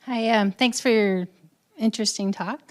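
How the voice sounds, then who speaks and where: A woman's voice: mid-range voice, moderate pace. A woman in the audience asking the lecturer a detailed, articulate question. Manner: articulate, building a detailed point.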